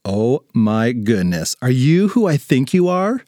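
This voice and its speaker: A man's voice: medium pitch, sing-song, unhurried, mellow, dry recording, studio quality. A man voicing a headstrong, sarcastic fifth-grade girl with a dramatic manner in a children's story. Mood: curious. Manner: exasperated, dramatic delivery.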